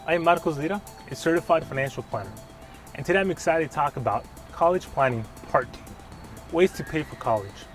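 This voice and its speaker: A man, a financial planner, presenting a scripted explainer in an upbeat, clear way. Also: a little high, animated, fairly quick, faint music behind.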